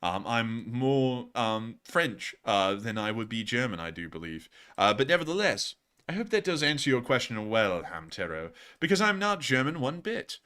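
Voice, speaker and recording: A man's voice: mid-range voice, very animated, moderate pace, bright, forceful tone, clear, resonant tone. A man from the United States with a tired voice, putting on a British accent as he hosts a livestream and answers viewers in wordy, emphatic, formal phrases. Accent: put-on British. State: fatigued voice. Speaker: livestream host of a news channel.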